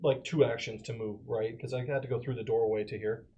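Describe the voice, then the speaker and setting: A man's voice: medium pitch, steady tone, brisk, warm tone, highs cut off. A man playing a tabletop game, chatting casually and talking through moves and rules. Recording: busy room with clear chatter.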